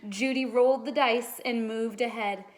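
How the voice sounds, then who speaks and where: A woman's voice: on the high side, steady tone, moderate pace. A woman reading a children's storybook aloud like a teacher, expressively and with dramatic emphasis.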